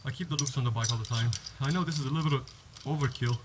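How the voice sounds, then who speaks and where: A man's voice: medium pitch, very fast, heavy background noise. Rambling man with an action cam outdoors. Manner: rambling, restarts sentences, explains step by step. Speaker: vlogger. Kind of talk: casual how-to vlog. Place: outdoors on a home driveway by a garage. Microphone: head-mounted action camera.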